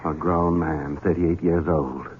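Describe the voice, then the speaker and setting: A man's voice: very deep voice, fairly even intonation, unhurried, a little hiss or hum. An actor playing a resentful, menacing man in his thirties ruled by his mother, in a theatrical, sardonic vintage radio mystery style. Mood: resentful, agitated. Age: 30s. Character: bitter, resentful grown son, 38, menacing and mother-dominated. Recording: dull lo-fi vintage radio, music behind.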